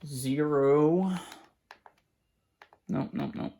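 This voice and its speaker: Someone slow and gravelly muttering thru a casual gadget demo, halting, bit of hiss and clicking. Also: medium pitch, dark tone. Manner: halting, muttering to self while working. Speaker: video creator doing a product setup. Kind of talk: casual hands-on demonstration, thinking aloud.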